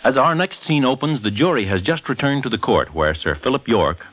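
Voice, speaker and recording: A man's voice: mid-range voice, expressive, fairly quick, a bit bright, poor audio. A man narrating a scripted drama in dramatic 1940s radio style.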